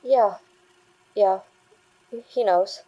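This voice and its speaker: A woman playing a shaken, defensive young bank worker giving halting testimony in an audio drama. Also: mid-range voice, warm tone, slight background noise, rough recording. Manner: halting, insistent, stammering. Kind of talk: dramatic audio fiction acting.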